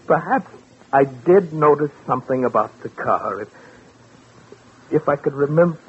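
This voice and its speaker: A man's voice: on the high side, expressive, moderate pace, breathy edge. An actor playing an anxious, pleading man under suspicion, stammering in 1940s radio drama style. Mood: desperate, pleading. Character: desperate, anxious man suspected of killing his wife. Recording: tinny, noisy vintage radio recording.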